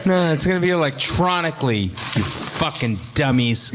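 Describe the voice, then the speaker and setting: A man's voice: a little high, expressive, normal speed, crowd noise. A man from New England chatting casually and comically as a guest on a live podcast. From New England, United States. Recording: very noisy live stage recording.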